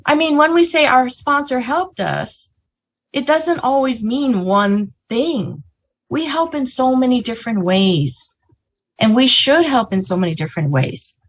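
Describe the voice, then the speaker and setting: A woman with a fairly high, husky voice talks over a video call. She gives a casual, motivational business talk and keeps checking in with her listeners as she goes. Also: a little slow. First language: English. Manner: lively, chatty, frequent "you know" and "right?". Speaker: business presenter.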